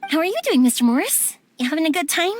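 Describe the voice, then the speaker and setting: A woman's voice: very high voice, expressive, quick pace, a little hiss or hum. A woman voicing a friendly, chatty young woman in a video game, breezy and sociable. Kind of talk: video game character acting.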